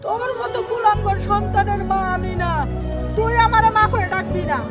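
A woman's voice: extremely high pitch, steady tone, moderate pace. A woman with a Bangladeshi accent playing an anguished, loving middle-aged village mother in a melodramatic family drama. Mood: bitter reproach. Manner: pleading, emotional. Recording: loud background music over dialogue.

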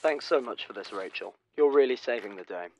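A man's voice: medium pitch, lively intonation, brisk, darkish tone, a little hiss or hum, close to an everyday voice. An actor, a man, playing a polite, breezy, placating studio director in an audio drama. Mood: reassuring. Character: smooth-talking, reassuring male studio director. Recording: dull booth intercom sound.

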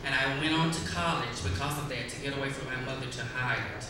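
A man's voice: mid-range voice, fairly even intonation, fast. A male female impersonator answering a pageant question on stage with an emphatic, declaiming delivery. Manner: emphatic, rising to a declaiming finish. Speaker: pageant contestant. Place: large stage in a hall. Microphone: handheld mic through hall speakers. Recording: echoey hall taped from far off, noisy.

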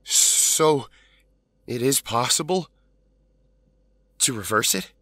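A man's voice: mid-range voice, expressive, takes it slowly, bright, forceful tone, dry recording, full character voice. A man playing an anguished, earnest teenage hero in an audio drama, pleading as he talks. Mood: hesitant.

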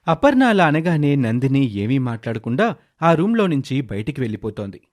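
A man's voice: medium pitch, very animated, moderate pace. A man narrating a scripted moral story as the storyteller.

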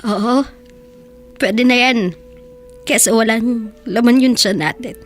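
A woman's voice: a little high, slow pace, faint music behind. A woman playing a poor, desperate teenage girl in love in a radio drama, often tearful and pleading. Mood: soft, downcast. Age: teens. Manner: tearful and pleading, soft and hushed in quiet scenes. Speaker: young lover.

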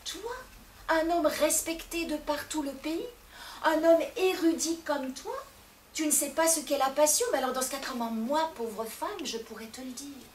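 A sulky, sharp-tongued wife, huffy and demanding, as a woman storyteller puts her on. The voice is fairly high and comes from a distance. The room echoes, and a noisy hiss sits underneath. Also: normal speed, lightly acted. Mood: mocking.

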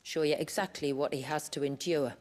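A woman playing a proud, weary village elder in a video game, with a measured, solemn manner. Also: low voice, fairly even intonation, a little mellow, natural voice. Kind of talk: video game cutscene acting. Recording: music playing behind the voice.

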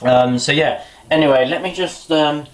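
A man's voice: on the high side, normal speed. A man, chatting casually about boxing while cooking in his small kitchen, rambling and muttering to himself, recorded on a camera's built-in mic. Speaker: boxing vlogger. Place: small home kitchen indoors.

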